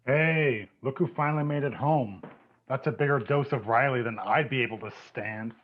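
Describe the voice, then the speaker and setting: A man's voice: mid-range voice, moderate pace, close to an everyday voice. Man acting snide boyfriend on phone-like audio. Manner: mocking, snide. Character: Kev, sarcastic, needling, crude boyfriend. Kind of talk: table read of a screenplay. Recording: thin, phone-like narrow-band sound.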